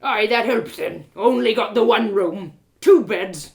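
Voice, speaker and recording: A woman voicing a gruff, stubbled male innkeeper with a loud, blunt, drawling manner. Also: mid-range voice, a little slow, echoey room. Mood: surly.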